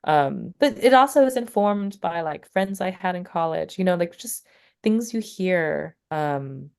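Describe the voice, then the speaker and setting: A low-voiced woman speaks as an interview guest, a poet who also teaches. She talks casually and rambles, leaning on "like" and "you know" and restarting her sentences as she goes. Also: animated, unhurried, creaky, a little mellow, clear, resonant tone.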